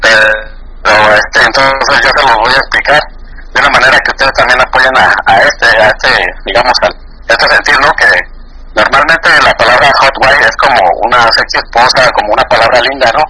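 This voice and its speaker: A man from Mexico City phones in to a radio show, speaking in a Mexican accent at an ordinary pitch. He hesitates and restarts often, padding with fillers. The call comes through a phone line over clear background noise. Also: steady tone, fairly quick. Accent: Mexican Spanish. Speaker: caller.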